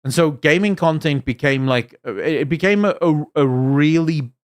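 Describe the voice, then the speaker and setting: A man's voice: a little high, normal speed. Young adult man, Afrikaans South African accent with American and British traces, casual live chat, opinionated, emphatic, fillers and restarts. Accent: Afrikaans South African, mixed with American and British. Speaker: livestream host reading chat.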